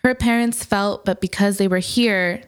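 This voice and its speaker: A woman co-hosts a podcast and keeps the talk light with playful, teasing banter. Her voice sits at a medium pitch, and her delivery is easy and conversational. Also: fairly even intonation, normal speed, smooth. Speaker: podcast co-host. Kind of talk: casual chat.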